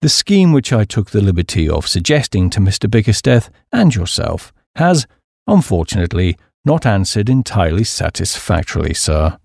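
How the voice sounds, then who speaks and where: A man voicing an unflappable, deferential English valet, with a formal, measured and deadpan British delivery. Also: lowish voice, vocal fry, no echo, clean studio sound, voice pushed down, exaggerated voice. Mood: deferential, composed.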